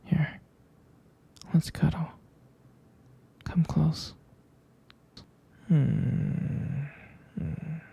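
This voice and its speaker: A man playing a jealous, tender, protective boyfriend in an intimate ASMR roleplay, speaking in a halting, breathy, gravelly whisper. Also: fairly high pitch, fairly even intonation, lo-fi sound, full character voice. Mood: tender, soothing. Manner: halting, hesitant, soft and intimate. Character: tender, jealous, protective young boyfriend soothing his partner.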